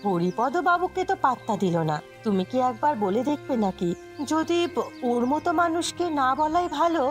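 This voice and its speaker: A woman's voice: sits high, lively intonation, normal speed. A woman playing a practical, worried village wife in a cartoon, with an anxious, cautioning manner. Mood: concerned, cautious. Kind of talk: Bengali moral-story cartoon dubbing. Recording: music behind the voice.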